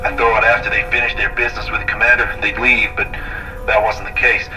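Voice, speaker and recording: A man acting a grave, low-voiced doomed captain. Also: flat delivery, recorded from a distance, over music, close to an everyday voice, poor audio. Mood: grave, foreboding. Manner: grave, deliberate storyteller. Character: resigned doomed male navy captain recording his last testimony. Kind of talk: dramatic monologue in an audio drama.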